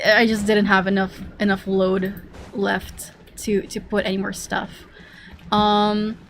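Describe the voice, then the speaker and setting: A woman with a scratchy voice, talking casually through a game walkthrough, rambling with many ums and quick laughs. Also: mid-range voice, fairly bright tone. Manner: rambling, lots of ums and self-corrections, laughs often. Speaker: gaming video creator.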